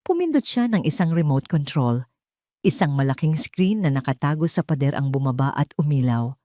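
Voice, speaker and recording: A woman's voice: deep voice, sing-song, normal speed, warm tone, no echo, clean studio sound. A woman reading a scripted dramatic story as narrator.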